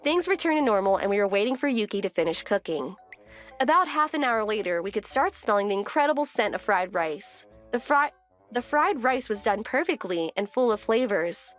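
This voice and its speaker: A woman's voice: medium pitch, lively intonation, normal speed, faint music behind, lightly acted. A woman playing a composed, wry wife who narrates her domestic story in a scripted manga dub. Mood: calm.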